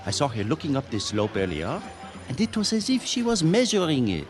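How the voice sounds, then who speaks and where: An actor playing a charming, flirtatious French ski lodge host in a radio drama, suave and courtly with a French accent. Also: male voice, mid-range voice, wildly swooping pitch, a little fast, faint music behind. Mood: suave, charmed.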